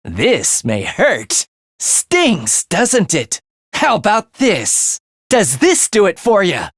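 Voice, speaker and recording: An actor playing a morbid young man in a video game, a soft-spoken killer who is languid, menacing and gleefully excited by death. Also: high-pitched, takes it slowly, no echo, clean studio sound, full character voice. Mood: eager, combative. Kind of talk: theatrical video game voice acting.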